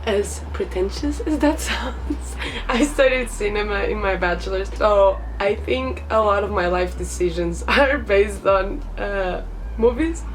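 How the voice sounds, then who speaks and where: A woman's voice: mid-range voice, a little slow, recorded from a distance, slight background noise, rough recording. A woman in her twenties from Portugal with a Portuguese accent, introducing herself in a chatty vlog, laughing often between thoughts. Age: 20s. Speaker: vlogger.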